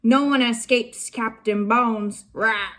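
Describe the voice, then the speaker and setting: A mean, bullying pirate captain growls and drags out each order, as a woman puts him on in a storybook reading. The pace is heavy and slow, the pitch sitting fairly high for such a brute. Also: steady tone. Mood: growling. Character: big mean bearded male pirate captain.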